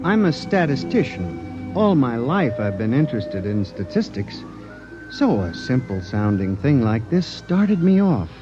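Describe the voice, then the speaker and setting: A man's voice: medium pitch, big pitch swings, measured pace. A man acting an earnest, anxious statistical clerk who narrates and plays out a 1950s radio drama. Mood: grave, reflective. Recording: muffled vintage radio recording with music behind.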